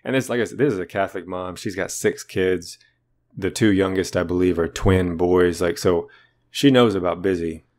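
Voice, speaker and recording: A man with a naturally deep voice, co-hosting a podcast in a rambling, self-deprecating chat. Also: steady tone, normal speed. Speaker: podcast co-host. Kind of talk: casual chat.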